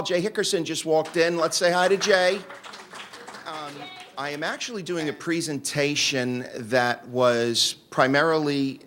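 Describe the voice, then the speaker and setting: A man presenting to a convention audience in a relaxed, joking manner with fillers. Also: mid-range voice. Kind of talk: casual talk to an audience. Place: convention room.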